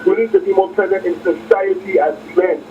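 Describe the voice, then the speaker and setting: A man with a high voice chats casually as a caller to a radio show. The sound is dull and lo-fi, coming from a distance with informal, everyday phrasing. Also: steady tone, brisk. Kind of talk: casual, informal call-in talk. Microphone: phone call into studio. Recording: muffled phone call, distant, faint noise.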